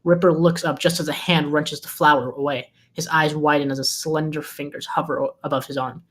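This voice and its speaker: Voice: mid-range voice, steady tone, fairly quick. A narrator reading scripted stage directions at a live table read.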